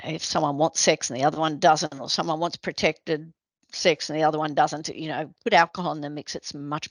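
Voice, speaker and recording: Low gravelly woman explaining. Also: dry recording. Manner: explanatory, practical, with frequent "you know" and "um". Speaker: presenter, addiction medicine specialist. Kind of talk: webinar presentation. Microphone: video call.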